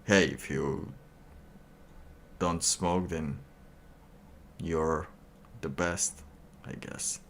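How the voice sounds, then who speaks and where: A man livestreaming a casual monologue in a halting, rambling way, with phrases that trail off. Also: sits low, steady tone, fairly bright tone, a little hiss or hum.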